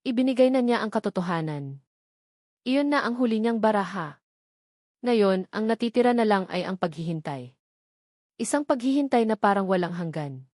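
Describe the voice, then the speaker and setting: A woman's voice: mid-range voice, animated, normal speed, pauses often, a little mellow, dry recording. Woman narrating a drama, very dry sound. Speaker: narrator of a romance audio story. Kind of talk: dramatic scripted storytelling narration.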